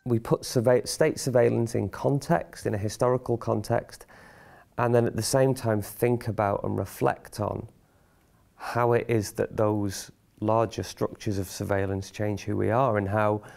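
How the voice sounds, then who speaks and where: A man from a theatre company giving a reflective, measured explanation in an interview. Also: on the low side, steady tone, vocal fry, a little mellow.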